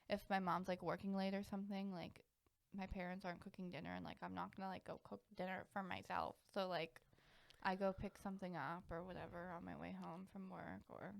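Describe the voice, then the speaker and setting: A woman's voice: fairly low pitch, creaky, dry recording. A woman in her twenties chatting casually as a podcast co-host, informal and often interrupting herself with "like.". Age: 20s. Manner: informal, self-interrupting, uses "like" constantly. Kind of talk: casual chat.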